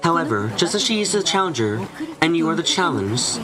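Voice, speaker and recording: A man voicing a solemn young female detective in a visual novel fan dub, speaking in a measured, formal, explanatory way. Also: on the high side, very animated, low-quality recording. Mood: solemn, reassuring. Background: background music.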